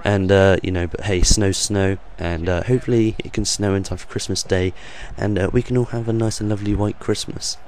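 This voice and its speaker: Young man, croaky deep voice, flat monotone delivery, rambling with ums, faint background hiss. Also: fairly quick, hardly any pauses. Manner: rambling, hesitant, many ums and uhs. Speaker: gaming channel host. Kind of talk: off-the-cuff voice-over commentary.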